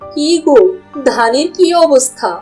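A woman voicing a gentle, devout farmer's wife and mother in a cartoon, caring and pleading. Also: high voice, unhurried, soft background music. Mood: anxious. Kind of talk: cartoon voice acting.

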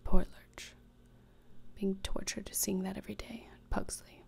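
A young woman playing a morbid, deadpan teenage schoolgirl in a whispered ASMR roleplay, speaking deliberately and cuttingly, close to the microphone. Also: mid-range voice. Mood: cold contempt. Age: young adult. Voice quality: breathy whisper with fry. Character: morbid, deadpan, disdainful teenage schoolgirl who relishes torture. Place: small indoor room, plain backdrop. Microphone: close desktop microphone.

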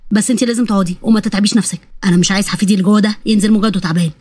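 Woman doing a coaxing older father, pitched down. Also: fairly even intonation, racing, a little mellow, dry recording, lightly acted. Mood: fond. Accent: Egyptian Arabic.

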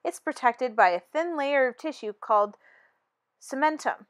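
A woman's voice: sits high, normal speed, natural voice. A woman voicing an encouraging dentist teacher who explains things to a class in a storybook. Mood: instructive. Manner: instructive classroom delivery.

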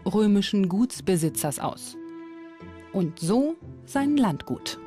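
A woman reads scripted documentary narration in a presenter's role. Her voice sits at an ordinary middle pitch for a woman, with music playing softly behind it.

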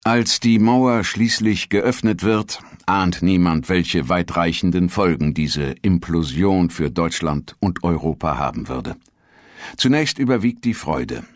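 A man reading a scripted documentary narration in a measured, formal manner. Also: low-pitched, expressive, dry recording, studio quality.

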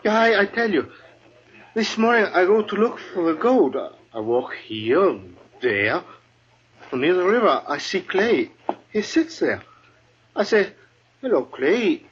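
An actor playing an anxious, pleading German immigrant farmer with a German accent and halting broken English in a 1950s radio drama. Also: male voice, high voice, very animated, slight background noise. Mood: uneasy. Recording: muffled old radio, crowd behind.

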